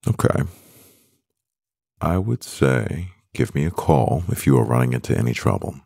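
A man acting a composed, doting CEO boyfriend in an ASMR roleplay, speaking in a measured, gravelly voice. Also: animated, darkish tone, dry recording, clean studio sound, full character voice. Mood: composed, businesslike. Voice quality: very deep, gravelly. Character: powerful male CEO boyfriend, composed, doting, gently teasing.

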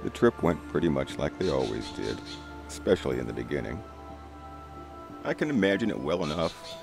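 A man's voice: low voice, gravelly. A middle-aged man narrating a first-person spooky story in a conversational, folksy way. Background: music.

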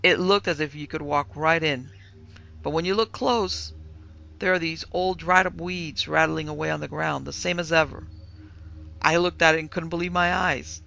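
A man's voice: a little high, fairly even intonation, moderate pace, creaky, bright, forceful tone, muffled recording. A man voicing a shaken young son in an audiobook who tries to sound casual and unconcerned. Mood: uneasy, excited. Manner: shaky, trying to sound casual. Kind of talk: audiobook character acting.

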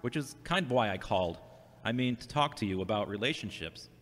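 A man's voice: lowish voice, lively intonation, brisk, fairly bright tone. A man playing a polite, self-doubting grown son in an audio drama, plain and understated. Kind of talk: amateur audio drama acting. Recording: long hollow reverb.

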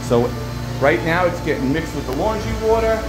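A man's voice: fairly high pitch, expressive, moderate pace, music in the background, rough recording. A man giving a casual, enthusiastic walkthrough of the water treatment room at his laundromat, filmed on a handheld phone. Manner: informal, enthusiastic, rambling explanations. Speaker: laundromat owner giving a tour. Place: indoor water treatment room with pumps and tanks. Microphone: handheld phone camera.

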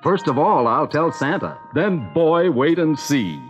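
A man voicing a kind, cheerful young male reindeer in a storybook, speaking in gentle rhymes. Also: a little high, big pitch swings, a little slow, in a large hall. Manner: gentle rhyming storybook delivery. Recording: dull lo-fi record with music.

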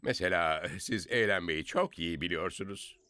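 A woman's voice: rumbling low, moderate pace, pushed and bright, dry recording, clean studio sound. A woman voicing a fawning child in a children's cartoon who acts as an over-polite royal courtier, with music behind the voice. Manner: obsequious, exaggerated deference. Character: fawning little child playing a princess's flattering courtier.

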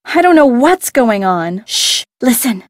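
A woman's voice: on the high side, very animated, measured pace. An actress playing a friendly young woman who owns a boat in a mystery game, recording chatty lines and alternate takes. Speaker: game character.

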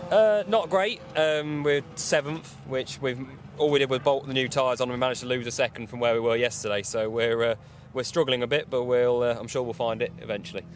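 Quick-talking young man. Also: medium pitch, fairly even intonation, a little hiss or hum. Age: 20s. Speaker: racing driver being interviewed. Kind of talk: casual interview chat. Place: outdoor paddock by a team awning. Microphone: handheld interview microphone.

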